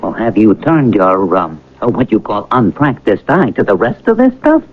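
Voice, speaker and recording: Husky, animated old-radio crook. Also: male voice, fairly low pitch, moderate pace, exaggerated voice. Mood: businesslike, curious. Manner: folksy, slangy, deferential. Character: plain-spoken, admiring professional bank robber, rough-edged crook. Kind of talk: radio drama acting. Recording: muffled vintage radio broadcast.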